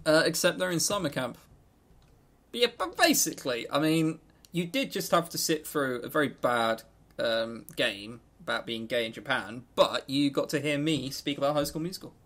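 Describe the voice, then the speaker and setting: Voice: medium pitch, expressive, normal speed, a bit bright. Podcast co-host for loose banter: sarcastic, jokey, happy to ramble off on tangents. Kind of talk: casual, tangent-prone banter about visual novels.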